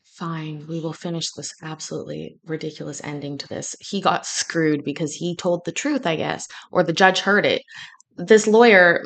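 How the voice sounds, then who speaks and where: A woman's voice: sits low, moderate pace, vocal fry, a bit bright. A woman born in Scotland hosting a casual livestream from her kitchen, chatty and joking with a sarcastic streak. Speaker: livestream host. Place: home kitchen.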